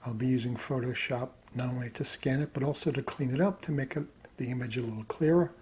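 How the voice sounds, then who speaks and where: A man's voice: mid-range voice, fast. A man giving a casual tutorial narration, thinking aloud as he works at his computer. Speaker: hobbyist presenter. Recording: steady background noise, keyboard clicks.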